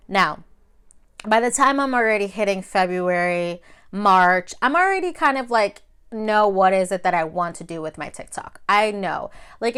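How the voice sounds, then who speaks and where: Smooth-voiced chatty woman, native Spanish speaker. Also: medium pitch, a bit bright. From Mexico. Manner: conversational, repeats phrases for emphasis. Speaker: video creator. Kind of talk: casual chatty advice vlog.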